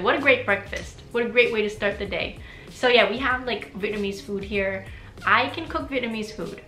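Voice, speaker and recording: A woman's voice: fairly high pitch, bright, forceful tone. A young adult woman chatting casually in a personal vlog, with frequent "like" fillers and easy laughter. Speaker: vlogger. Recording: roomy echo with faint music.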